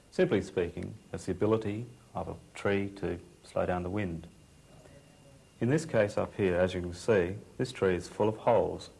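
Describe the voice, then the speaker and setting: Low-voiced male explainer. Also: vocal fry, darkish tone, slightly rough. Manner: plain-spoken, explanatory. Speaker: expert presenter. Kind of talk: instructional demonstration to camera.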